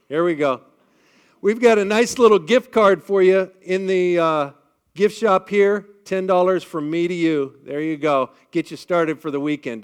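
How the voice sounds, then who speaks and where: An energetic male speaker with a big projected voice that sits a bit high for a man. He rallies a live audience on a microphone with upbeat, chatty energy and keeps checking that they are with him. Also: smooth. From Midwestern United States. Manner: energetic, upbeat crowd-rallying with frequent "all right?" checks. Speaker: event speaker leading the group. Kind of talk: live talk leading an audience icebreaker. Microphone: amplified on a microphone.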